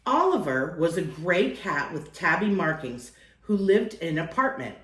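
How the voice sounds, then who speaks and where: A woman's voice: lowish voice, sing-song, normal speed, a bit bright, reverberant. A woman reading a children's picture book aloud as a storyteller, acting out phrases and lingering on key words.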